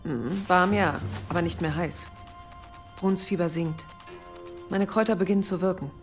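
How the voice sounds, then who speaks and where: A woman's voice: on the low side, moderate pace, soft background music, close to an everyday voice. An actress playing a determined pagan herbalist and devoted mother in a medieval radio drama, speaking earnestly. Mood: focused, tender. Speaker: actor in a radio play. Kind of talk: German radio drama acting.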